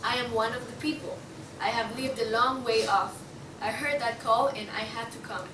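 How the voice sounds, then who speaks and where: A woman's voice: on the high side, fairly even intonation, moderate pace, recorded from a distance, close to an everyday voice. Woman acting a fervent believer, echoey noisy room. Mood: insistent. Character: fervent, sincere woman come from afar, one of the people. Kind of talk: student table read of a stage play. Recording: echoey room from afar, clear noise.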